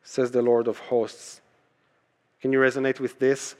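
A man's voice: mid-range voice, flat delivery, a little slow. Male pastor, native Hebrew speaker, preaching emphatically. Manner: emphatic, repeats key phrases for weight. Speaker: pastor preaching to his church. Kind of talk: conversational sermon with rhetorical questions.

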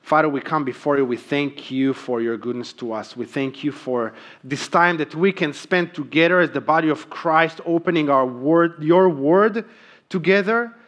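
A man's voice: on the high side, a bit bright. A man preaching a sermon as a church pastor, earnest, with frequent ums and emphatic repeated key phrases. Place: church sanctuary.

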